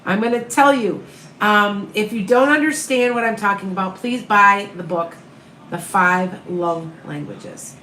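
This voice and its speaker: A woman from the American Midwest, with a Midwestern accent, reading tarot live and answering viewer questions in a calm, soothing, reassuring way. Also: mid-range voice, measured pace, reverberant, slight background noise, poor audio. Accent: Upper Midwest American. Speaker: tarot reader and medium.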